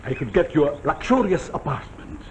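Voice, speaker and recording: An actor in a 1940s television drama playing an arrogant, domineering general who speaks in a blunt, pressing, commanding way. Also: male voice, fairly high pitch, wildly swooping pitch, a little fast. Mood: pressing, seductive. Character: arrogant, domineering wartime general, an insistent seducer. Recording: muffled, noisy 1949 television soundtrack.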